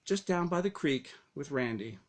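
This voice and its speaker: A man reading his own first-person story aloud as narration, with dramatic, deliberate phrasing. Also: fairly high pitch, lively intonation.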